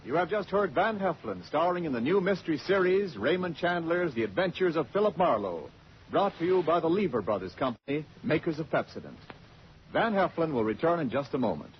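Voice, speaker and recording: A man's voice: a little high, big pitch swings, fairly quick, a little hiss or hum. A man with an American accent reading scripted announcements in 1940s radio style. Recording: dull old radio recording with music.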